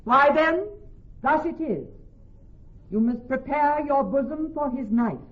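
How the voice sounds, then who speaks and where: A woman playing a clever young woman posing as a male judge in classical radio drama, speaking in a measured, formal, authoritative way. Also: sits high, lively intonation. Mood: solemn. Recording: thin, narrow-band old radio broadcast.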